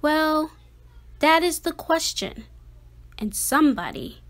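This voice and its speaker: Female storybook reader, high register, slow and expressive delivery, children's rhyming read-aloud. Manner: dramatic, deliberate phrasing.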